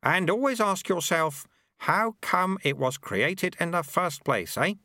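A crafty old martial arts master, sly and teasing, pushed noticeably higher than a plain speaking voice and swinging up and down as he talks, as a male storyteller puts him on. The sound is close and dry with no room around it. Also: expressive, unhurried, sharp tone, no echo, exaggerated voice.

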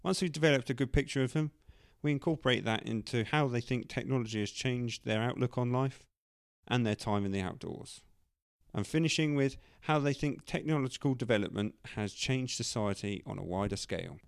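A man of middle pitch reads a scripted podcast introduction in long, steady sentences. The recording is dry and close, with no room sound. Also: brisk, gravelly, dry recording. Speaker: podcast host.

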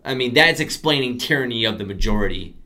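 A man's voice: medium pitch, expressive, fast talker, bright, forceful tone. A young man in his twenties from the United States, co-hosting a casual podcast. He rambles, circles back on himself and fills gaps with likes and I means as he works out his point. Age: 20s. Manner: rambling, many restarts and fillers. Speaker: podcast co-host. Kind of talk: casual chat.

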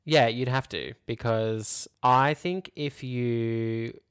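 A woman's voice: a bass voice, slow pace, smooth. A woman co-hosting a podcast, chatting casually in an agreeable, conversational way. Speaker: podcast co-host. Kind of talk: casual chat.

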